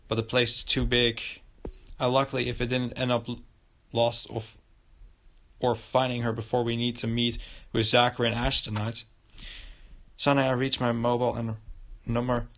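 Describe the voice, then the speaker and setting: A man livestreaming a visual novel, reading the narration aloud in a halting way with stumbles and repeated words. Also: medium pitch, heavy background noise. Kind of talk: live narration of game text. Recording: loud music behind the voice. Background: game music.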